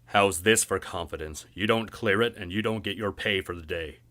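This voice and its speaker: A man voicing a gruff, scheming, hoofed and furred dock boss with a booming delivery in a fantasy storybook. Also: moderate pace, bright, forceful tone. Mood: curt, scornful.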